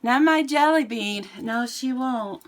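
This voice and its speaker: Chatty woman with a raspy voice. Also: fairly high pitch, takes it slowly, slight background noise. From United States. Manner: chatty, rambling, self-mocking. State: raspy voice today. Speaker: vlogger. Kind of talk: casual chat to camera. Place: home, indoors.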